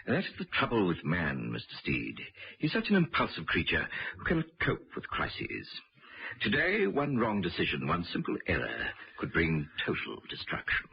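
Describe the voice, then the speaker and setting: A man playing a villainous scientist in a radio drama, measured, condescending and coolly grandiose about machines. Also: deep voice, huge pitch swings, vocal fry, exaggerated voice. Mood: smugly lecturing. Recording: dull radio recording with faint noise.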